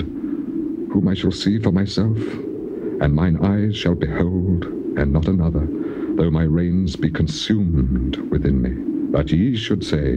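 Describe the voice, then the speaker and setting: A man playing an afflicted, anguished man in a dramatized scripture reading, declaiming with solemn passion against his friends. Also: deep voice. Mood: solemn conviction, then stern warning. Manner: declamatory, impassioned, solemn archaic delivery. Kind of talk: dramatized King James Bible reading, theatrical. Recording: old noisy cassette tape transfer.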